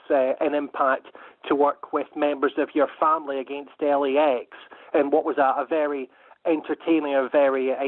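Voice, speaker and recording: A man politely asking an interview question over the phone as a radio journalist, pausing between phrases. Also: on the high side, no room sound. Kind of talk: polite press-call question. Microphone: telephone. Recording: thin, narrow phone conference line.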